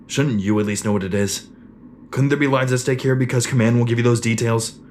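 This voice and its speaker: An actor playing an earnest, probing and respectful sergeant in a sci-fi audio drama. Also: male voice, mid-range voice, steady tone, fairly quick, a little mellow, slight background noise, lightly acted. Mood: earnest, questioning.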